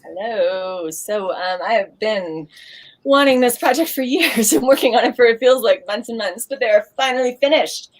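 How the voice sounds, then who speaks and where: A woman's voice: on the high side, normal speed. A woman giving a casual show-and-tell presentation of her own handmade project. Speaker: maker presenting a craft electronics project.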